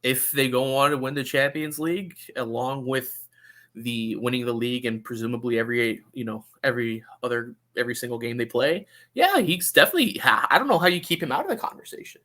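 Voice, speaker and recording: A man discussing soccer casually as a podcast pundit, halting and often repeating words as he qualifies his points. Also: mid-range voice, lively intonation, pushed and bright.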